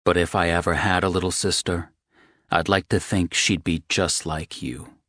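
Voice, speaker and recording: A man voicing a protective young man who is gentle but swears freely. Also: steady tone, normal speed, no room sound, clean studio sound. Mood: tender. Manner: low, gentle, coarse-talking.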